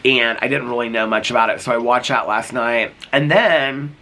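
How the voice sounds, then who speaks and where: Sick man rambling outdoors, bright voice. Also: medium pitch, normal speed, a little hiss or hum. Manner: rambling, full of "like" and restarts. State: sick with a cough, voice going. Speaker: vlogger. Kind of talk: casual vlog chat. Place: sitting outdoors.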